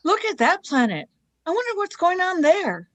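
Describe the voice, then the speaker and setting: A woman acting an excitable young female science fiction character in an amateur radio play. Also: very high-pitched, moderate pace. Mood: urgent, curious.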